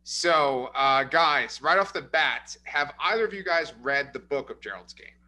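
A man's voice: on the high side, measured pace, pushed and bright, rough recording. A man hosting a casual movie review podcast, upbeat and chatty, recapping the plot with frequent fillers. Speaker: podcast host.

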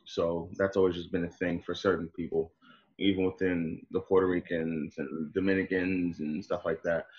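Male rap artist guest; very deep, easygoing, slightly muffled. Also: flat delivery, moderate pace. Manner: laid-back, conversational, slang-heavy. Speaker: hip-hop artist guest. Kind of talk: casual interview chat.

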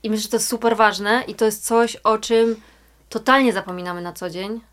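Female interviewer, reflective, conversational, slightly high voice. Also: steady tone, brisk. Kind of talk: casual interview.

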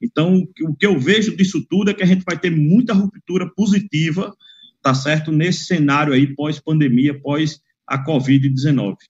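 Man, fairly high voice, slangy and blunt, muffled video call audio. Good for a casual panel guest. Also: normal speed. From Northeast Brazil. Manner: expansive, frank, blunt with slang and mild swearing. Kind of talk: casual chat.

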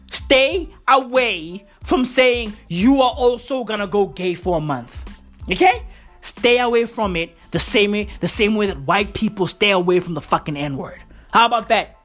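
A woman hosting a podcast in a loud, profane comedic rant, her voice going hoarse. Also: a little high. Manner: loud, excitable, swearing constantly, slangy. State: hoarse, losing voice. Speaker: podcast host.

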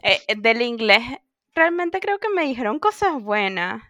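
A woman co-hosting a podcast, telling stories in animated casual chat with quoted asides. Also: fairly high pitch, unhurried, no room sound, clean studio sound. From Venezuela. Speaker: podcast co-host.

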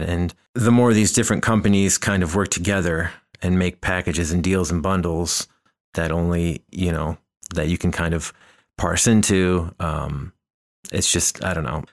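A man hosting a podcast, talking through ideas in a thoughtful, rambling way, often restarting his phrases. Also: low voice. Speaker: podcast host. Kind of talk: casual conversational discussion.

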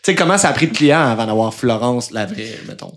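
A man's voice: mid-range voice, animated, a little fast. A man with a Québécois French accent co-hosting a podcast, joking and tossing in slangy interjections. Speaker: podcast co-host. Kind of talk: casual interview chat.

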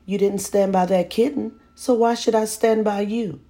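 A woman's voice: lowish voice, normal speed, warm tone, breathy edge, pitched above the performer's own voice. A woman voicing a stern, harsh mother who scolds and taunts her son in a read-aloud memoir. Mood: taunting.

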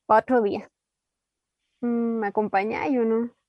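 A woman's voice: a little high, fairly even intonation, moderate pace, no echo, lo-fi sound, lightly acted. A woman acting an inquisitive, guarded Chilean character in a naturalistic radio drama, with a conversational, questioning delivery. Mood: playful, guarded. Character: inquisitive, guarded woman named Amanda with a secretive past.

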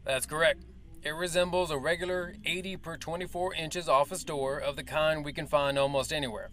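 An actor playing a male military major who briefs the press in a formal, measured and evasive way. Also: fairly high pitch, steady tone, a bit bright, natural voice. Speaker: official spokesman. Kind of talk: audio drama acting.

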